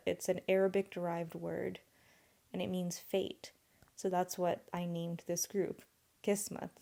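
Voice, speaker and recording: A woman's voice: medium pitch, creaky, mellow. A young woman whose first language is Urdu, hosting a solo podcast and thinking aloud in an earnest, rambling way. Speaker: podcast host.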